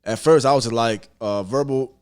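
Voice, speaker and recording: A man's voice: mid-range voice, moderate pace, clean tone. An American man chatting casually as a podcast guest, blunt and informal with his opinions. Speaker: podcast guest discussing music.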